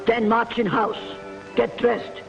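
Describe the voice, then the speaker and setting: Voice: medium pitch, flat delivery, takes it slowly, gravelly. An actor playing a stern, blunt servant who speaks in clipped broken English, in an old television western. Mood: scolding. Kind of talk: 1950s television western acting. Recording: muffled old 1950s TV soundtrack with music.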